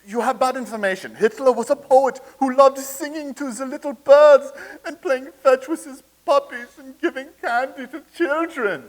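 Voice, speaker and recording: A man playing a blustering dictator loyalist, high-voiced. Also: moderate pace, few pauses, rough recording. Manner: blustering, stammers when challenged. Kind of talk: staged reading.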